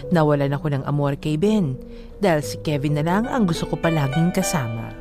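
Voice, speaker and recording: A woman's voice: low-pitched, expressive, a little fast. A woman with a Filipino accent working as a radio DJ and co-host. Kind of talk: radio banter and dramatic letter reading. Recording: music bed under the voice.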